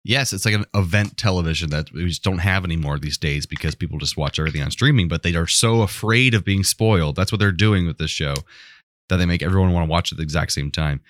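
Deep-voiced man in casual podcast talk. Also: quick pace, a bit bright. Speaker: podcast co-host. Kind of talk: casual chat about a TV show.

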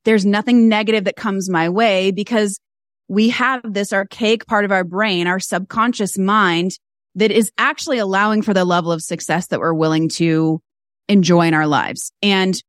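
A woman guest on a podcast interview, talking about business in an animated, emphatic way and building her points through repeated phrases. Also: mid-range voice, normal speed, no room sound, studio quality. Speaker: guest, fashion stylist and business mentor.

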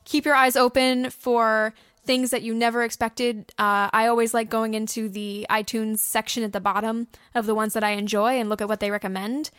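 A woman with a fairly high voice co-hosts a podcast in casual, enthusiastic chat. She talks informally, and the recording is dry, with very little room sound. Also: little pitch movement, moderate pace, fairly bright tone, smooth, dry recording. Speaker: podcast co-host. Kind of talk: casual chat recommending audio drama podcasts.

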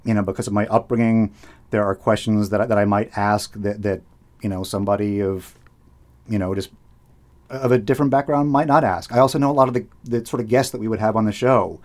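A man's voice: lowish voice, brisk, a little mellow. A middle-aged man from the United States, talking casually in an interview, rambling with restarts, fillers and frequent laughs. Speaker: radio host, interviewee.

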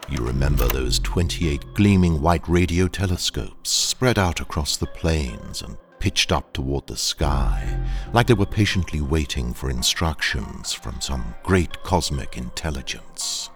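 An actor in an audio drama playing a ruthless training engineer who speaks in a deliberate, condescending, hypnotically coaxing way to a captive. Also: male voice, very deep voice, animated, faint music behind, exaggerated voice. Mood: hypnotic. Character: ruthless, sinister male training engineer, coolly brainwashing a captive.

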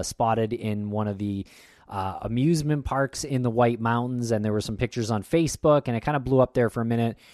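A man's voice: medium pitch, a little mellow, no room sound, studio quality. A man co-hosting a podcast, talking in an easygoing way with frequent fillers. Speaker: podcast co-host. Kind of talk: casual podcast chat.

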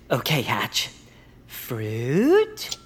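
An eager, over-optimistic contestant in an audio drama. The voice is husky and hugely expressive, slow-paced, earnest and easily flustered. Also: female voice, extremely low pitch, full character voice. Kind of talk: audio fiction acting.

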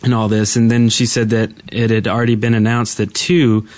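Man, lowish pitch, very dry sound, casual podcast co-host. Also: flat delivery, a little fast, a little mellow, dry recording. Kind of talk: casual group podcast chat.

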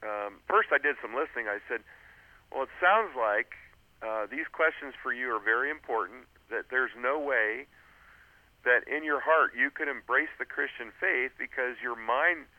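A man's voice: mid-range voice, normal speed, no echo. A man who is an author and guest on a radio interview, telling a story earnestly with frequent "uh"s and restarts over a phone line. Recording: tinny phone line, dry.

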